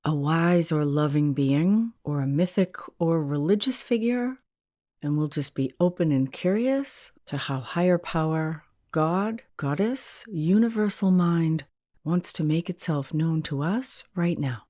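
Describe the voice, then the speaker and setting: A woman leading a guided meditation as a hypnotherapist, in a soothing, gentle and unhurried manner. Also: deep voice, no room sound, clean studio sound.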